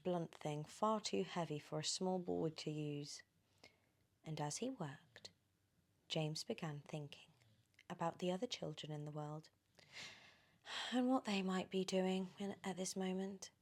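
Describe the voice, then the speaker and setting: A woman with a British accent reading a children's story in ASMR style, animated and often slipping into whispers. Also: lowish voice, unhurried.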